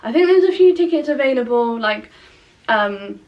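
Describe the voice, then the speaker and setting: A woman's voice: on the high side, lively intonation, moderate pace, recorded from a distance, highs cut off. A young woman from England with a Nottingham accent, chatting casually in a home vlog by a window, rambling with lots of fillers. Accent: Nottingham English. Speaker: vlogger.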